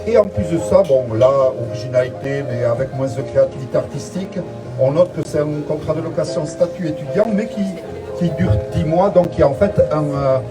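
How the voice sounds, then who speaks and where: A man's voice: on the high side, a little fast. Guy doing a fake-serious art tour outside, keeps stalling with um, music playing behind. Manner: mock-solemn, hesitant with many fillers. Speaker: mock exhibition guide. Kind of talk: tongue-in-cheek guided tour. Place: outdoors in front of a museum.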